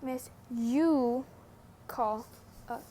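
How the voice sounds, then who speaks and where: A young woman giving casual, slangy, sweary and teasing commentary as a gaming vlogger, with unhurried delivery. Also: on the high side, mellow, a little hiss or hum.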